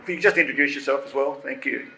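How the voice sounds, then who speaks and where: A man chairing a conference debate, brisk and a little jokey, with hesitant fillers. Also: medium pitch, bright, forceful tone. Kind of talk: moderating audience questions. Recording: echoey hall, faint noise.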